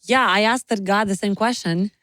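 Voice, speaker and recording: A Ukrainian woman in her twenties, a youth pastor, speaking earnest, halting English with many fillers. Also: medium pitch, a bit bright, no echo, clean studio sound. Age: 20s. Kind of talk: interview guest, personal testimony.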